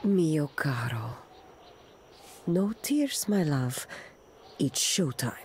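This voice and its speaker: A woman's voice: sits low, sing-song, extremely slow, darkish tone, exaggerated voice. A woman playing a tender, resolute and theatrical wife in a dramatic video game scene. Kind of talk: dramatic game cutscene acting. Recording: clear background noise and ambience.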